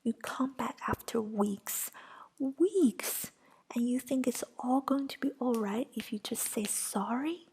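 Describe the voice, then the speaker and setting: A woman voicing a furious, shrill young witch, whispering her lines in a higher pitch. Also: takes it slowly, darkish tone, a little hiss or hum, rough recording.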